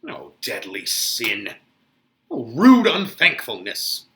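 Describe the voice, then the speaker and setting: A man voices an older friar in a Shakespeare play, a patient counsellor who gets exasperated. He speaks slowly and solemnly, admonishing like a father. The tone is sharp and forceful. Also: medium pitch, huge pitch swings, pitched below the performer's own voice, full character voice. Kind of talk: dramatic Shakespeare reading.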